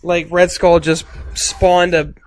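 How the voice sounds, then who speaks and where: A man's voice: sits high, flat delivery, slow. A man co-hosting casual film reaction commentary, slangy and full of questions. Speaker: movie commentary co-host.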